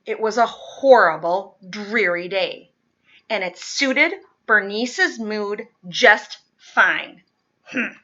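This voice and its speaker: Bright, slow-paced woman reading a storybook. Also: mid-range voice, dull audio. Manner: dramatic, deliberate storytelling with stressed key words. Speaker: storybook reader. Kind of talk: expressive children's picture book read-aloud.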